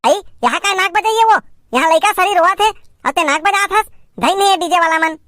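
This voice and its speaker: Grumbling cartoon village mom played by a woman, Chhattisgarhi accent, extremely high pitch, dry sound. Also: a little fast, fairly bright tone, no room sound. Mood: exasperated, scolding. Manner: nagging, grumbling. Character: exasperated young village mother hushing her baby. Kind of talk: amateur cartoon comedy dialogue.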